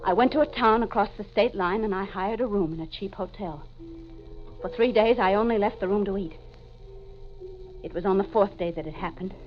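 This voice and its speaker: A woman's voice: mid-range voice, fairly quick, close to an everyday voice. An actress playing a haunted, desperate wife who confesses her murders, in intense, melodramatic 1940s radio mystery style. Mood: haunted, confessing. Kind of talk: 1940s radio mystery acting, first-person narration. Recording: dull old 1940s radio recording with music.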